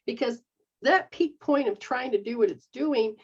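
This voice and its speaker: A woman's voice: on the high side, normal speed, a little mellow, breathy edge, dull audio. A middle-aged woman, a metaphysical practitioner, explaining healing techniques in a rambling, casual way and checking that she is understood. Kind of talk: casual instructional chat.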